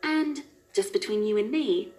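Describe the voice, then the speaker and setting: A woman's voice: mid-range voice, expressive, measured pace, dark tone, natural voice. A woman voicing a haughty, wealthy socialite wife in a visual novel game, gushing with condescending, sugary politeness. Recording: music playing behind the voice.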